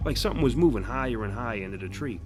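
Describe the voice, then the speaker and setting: An actor playing a crude, sarcastic, shady bus driver who talks in a rambling, colloquial, hesitant way in a horror audio drama. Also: male voice, medium pitch, expressive, very fast, natural voice. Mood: wry, eerie storytelling. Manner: colloquial, hesitant drawn-in storytelling, sardonic. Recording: voice over clear background music.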